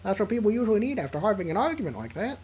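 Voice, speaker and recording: A streamer voicing a cheerful, supportive young male friend in a squeaky, raised voice, giving upbeat advice. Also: big pitch swings, racing, darkish tone, breathy edge. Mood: encouraging. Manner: upbeat, reassuring, chatty advice.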